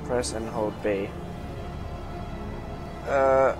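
A man gives offhand, blunt let's-play commentary with game music clearly behind him. His pace is unhurried and his style casual. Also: mid-range voice, steady tone. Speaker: gaming YouTuber.

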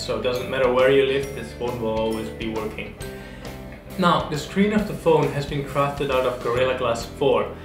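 A young adult man presents a product unboxing to camera in a medium-pitched voice. The room adds noticeable echo, and music plays behind him. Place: small indoor studio, seated at table. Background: background music.

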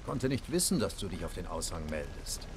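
A man's voice: sits low, big pitch swings, a little fast, noisy background. An actor playing a jaded, sardonic, cruel nobleman bandit in video game dialogue, with a wry, dismissive drawl. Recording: rain and outdoor ambience behind.

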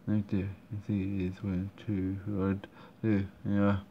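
Guy mumbling thru a game, slow and flat, stopping and restarting a lot. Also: deep voice. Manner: hesitant, many fillers and restarts. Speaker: player commenting on a game. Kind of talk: halting casual commentary. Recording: dull muffled recording, faint room noise.

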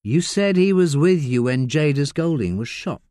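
A man's voice: a little high, expressive, moderate pace, dark tone, no room sound, studio quality, pitched above the performer's own voice, lightly acted. Man doing a firm woman detective. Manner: firm, clipped.

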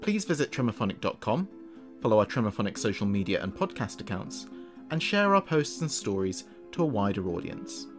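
A man's voice: lowish voice, big pitch swings, brisk, soft background music. A man reading a scripted introduction as the narrator and host of a story podcast.

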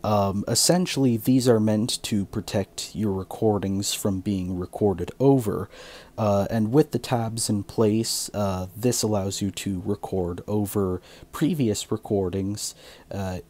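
A man hosting a casual how-to tutorial, explaining things in a measured way. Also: fairly low pitch, mellow. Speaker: tutorial host.

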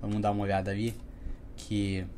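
A man's voice: on the low side, monotone, fast talker, crowd noise. A man hosting a reaction video, chatting casually and giving his opinions in a slangy way at his webcam. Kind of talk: casual commentary.

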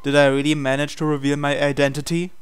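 A man reading visual novel dialogue aloud in character, with a hesitant, halting delivery. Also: a little high, little pitch movement, clear, resonant tone. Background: game music.

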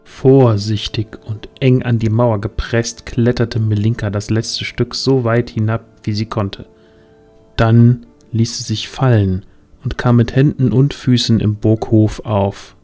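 A man's voice: on the low side, steady tone, a little slow, dark tone. A man reading scripted narration as the storyteller of a fantasy audio drama. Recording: music under the voice.

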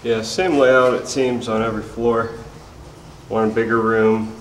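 A man's voice: mid-range voice, flat delivery, measured pace, a little hiss or hum. A man filming alone inside an abandoned prison, talking offhandedly to himself and swearing now and then as he explores. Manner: offhand, swears often, thinks aloud. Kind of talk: casual muttered commentary while exploring. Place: large abandoned prison building, indoors. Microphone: camcorder mic, speaker behind the camera. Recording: echoey concrete interior with faint ambience.

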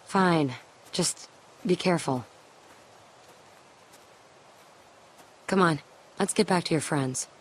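A woman's voice: deep voice, a little slow, slight background noise. A woman playing a hardened, guarded young survivor in a video game drama, speaking somberly and bluntly. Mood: curt.

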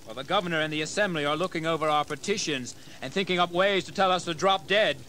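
High, bright-voiced colonial rebel leader. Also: male voice, fast talker, a little hiss or hum. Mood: fiery, rousing. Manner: impassioned, declamatory. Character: fiery young colonial rebel leader rallying volunteers. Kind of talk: dramatized audio history acting.

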